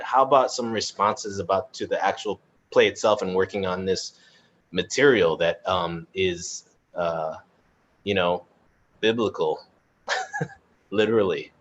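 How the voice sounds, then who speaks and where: A man with a fairly low voice hosts an informal online chat. He rambles and hesitates as he feels his way through his thoughts. The sound is a bit dull and lo-fi, as on a video call. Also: fairly bright tone, slight background noise. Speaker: host of a theatre chat. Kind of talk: casual moderated online chat.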